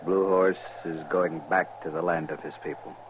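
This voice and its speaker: An actor playing a dignified, stoic man in a 1950s radio western, speaking in solemn, formal, measured phrases. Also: deep voice, level tone, natural voice. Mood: solemn, resolute. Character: dignified, stoic Pawnee man longing for his northern homeland. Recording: dull, noisy old radio recording.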